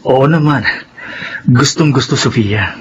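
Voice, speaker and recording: A man's voice: medium pitch, a little slow, slightly rough, muffled recording. An actor playing an unfaithful, calculating businessman husband in a Filipino radio drama. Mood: smug, calculating.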